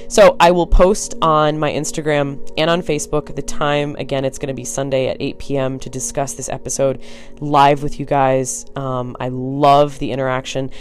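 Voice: medium pitch, level tone, moderate pace, soft background music. Tired, blunt podcaster with a Connecticut accent. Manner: blunt and frank, swears, rambling asides. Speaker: podcast host. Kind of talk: casual solo podcast talk.

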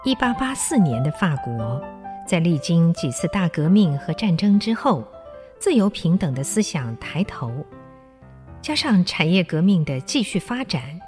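A woman's voice: on the low side, a little mellow. A woman reading scripted narration for a literary radio drama.

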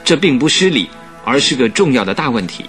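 An actor in a radio drama playing a vain young English aristocrat, affected and pompous but good-natured underneath. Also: male voice, medium pitch, very animated, fairly quick, a bit bright, faint music behind. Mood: earnest, pompous. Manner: affected, pompous, easily flustered. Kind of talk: Chinese radio drama acting.

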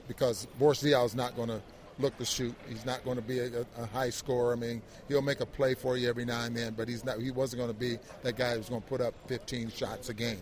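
A man co-hosting a postgame show as an analyst, casually working through his points in a halting way and repeating words. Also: mid-range voice. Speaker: sports analyst, co-host.

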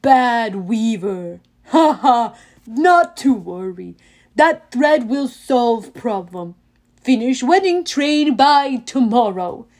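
A woman voicing a booming, boastful Cyclops giant. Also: expressive, a little mellow, full character voice. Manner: broken clipped grammar, slow and booming. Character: huge proud one-eyed male Cyclops, boastful and menacing. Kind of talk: audiobook character reading.

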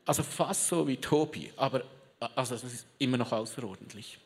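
A preacher giving a lively sermon, telling a story theatrically and joking with a live audience. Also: mid-range voice, brisk. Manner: theatrical storyteller, rallies the crowd; chatty asides, joking with the audience. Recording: long reverb of a big hall.

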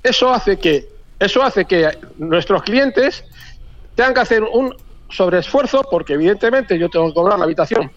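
Castilian-accented man in his forties, halting. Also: high voice, animated. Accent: Castilian Spanish. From Madrid, Spain. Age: 40s. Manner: halting, repeats words often, talkative. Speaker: hotel owner, radio guest. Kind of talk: casual radio interview. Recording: dull lo-fi radio audio.

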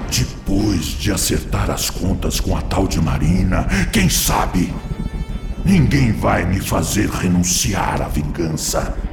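Gravelly, vengeful Brazilian spirit. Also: male voice, low-pitched, full character voice. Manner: aggressive, menacing, dramatically emphatic. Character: vengeful, raging male spirit, fanatically devoted to a suffering girl. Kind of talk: Brazilian radio drama acting. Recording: echoey studio drama with loud music. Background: dramatic music.

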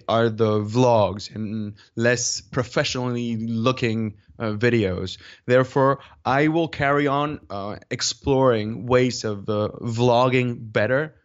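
Spanish-accented man, dull lo-fi sound. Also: mid-range voice. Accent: Spanish-speaking. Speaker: interview guest, video creator. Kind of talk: conversational interview answers. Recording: dull lo-fi call audio.

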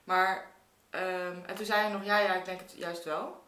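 A woman's voice: lowish voice, fairly even intonation, normal speed, sharp tone. Hesitant woman talking in an echoey room. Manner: hesitant, searching for words. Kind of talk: casual reflective conversation. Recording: roomy, echoing room sound.

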